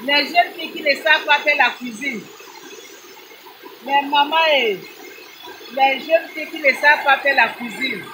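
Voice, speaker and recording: Brief: non-native French-speaking woman, high voice, repetitive preachy lecture to moms, noisy background. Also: unhurried, pauses often. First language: not French. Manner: prayerful, scolding, repetitive, addresses listeners as mothers. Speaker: advice vlogger. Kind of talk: informal preaching talk to mothers.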